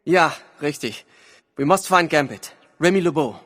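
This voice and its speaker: A man playing a calm, thoughtful German mutant hero in a video game, with a German accent and formal, measured phrasing. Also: fairly high pitch. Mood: earnest, urgent. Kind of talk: video game cutscene acting.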